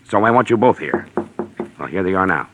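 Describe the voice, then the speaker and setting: An American actor playing a suspicious, hot-tempered client in a 1940s radio crime drama. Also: male voice, low-pitched, expressive, fairly quick, background noise. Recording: vintage 1940s radio recording.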